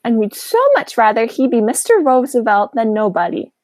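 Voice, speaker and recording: A young woman acting a sweet, fussy, chatty elderly aunt with a genteel manner in a high school radio play. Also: animated, moderate pace, darkish tone, no room sound, lightly acted. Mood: cheerful, fussy. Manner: genteel, fluttery, coaxing.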